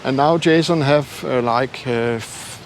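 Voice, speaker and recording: An older man with a non-native English accent, talking casually and haltingly to viewers in a self-deprecating way as a travel vlogger. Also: mid-range voice. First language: not English. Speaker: travel YouTuber. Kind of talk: casual vlog talk to camera. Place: outdoors. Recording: noisy outdoor street ambience with traffic.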